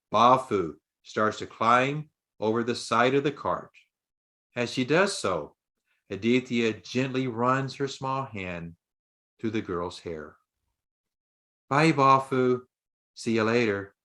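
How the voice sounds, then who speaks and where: A man narrating a scripted story in a deliberate, dramatic audiobook style. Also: on the low side, expressive, fairly bright tone.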